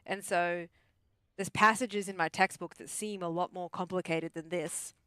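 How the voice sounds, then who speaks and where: A woman's voice: on the low side, steady tone, moderate pace, fairly bright tone, clear, resonant tone, dry recording. A woman chatting casually on a gaming livestream, thinking aloud as she talks herself through choices. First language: English. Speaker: streamer, therapist answering questions.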